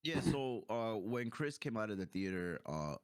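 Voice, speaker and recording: A man's voice: on the low side, big pitch swings, normal speed, clear, resonant tone, no room sound, studio quality. A man giving his opinions as a guest in a casual movie review chat, explaining his points as he goes.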